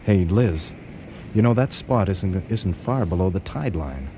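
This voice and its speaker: A young science student in a radio play. His low voice swings up and down in an animated, folksy way, dryly joking yet eager. Clear background noise sits behind him, like an outdoor scene. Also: unhurried, muffled recording. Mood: intrigued. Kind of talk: radio drama acting.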